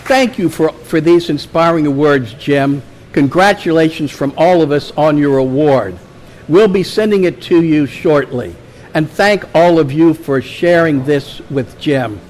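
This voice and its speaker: A man reading a written tribute aloud at a meeting in a measured, formal way. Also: on the high side, darkish tone. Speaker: club member presenting an award and reading a tribute.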